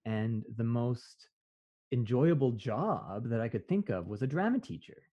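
A man's voice: medium pitch, lively intonation, unhurried. A man in his thirties with a Canadian accent hosts a casual, conversational interview. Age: 30s. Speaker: host, interviewer. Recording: dull, lo-fi sound.

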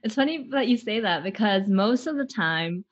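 A Canadian-born woman, a theater artist, speaking slowly and thoughtfully in an interview, often pausing and laughing lightly. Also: medium pitch, animated, highs cut off. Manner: slow, thoughtful, with frequent laughs and pauses. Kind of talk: conversational interview, opening with a guided imagined scene.

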